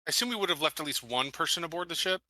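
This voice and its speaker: A man's voice: on the high side, expressive, racing, sharp tone, dry recording. A man chatting casually as a player in a tabletop role-playing game.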